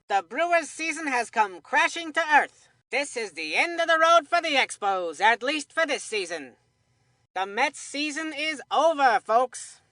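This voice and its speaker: A woman voicing an excitable young boy baseball commentator in a kids' video game, with a theatrical, earnest announcer delivery. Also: high voice, dry recording. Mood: mournful, resigned.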